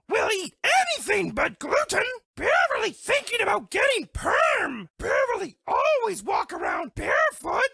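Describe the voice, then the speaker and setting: A woman's voice: very high-pitched, vocal fry, pushed and bright, no room sound, full character voice. A woman voicing a sweet, dramatic, lovestruck female bear in a cartoon dating game, speaking broken English in a gushing way. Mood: playful, gushing.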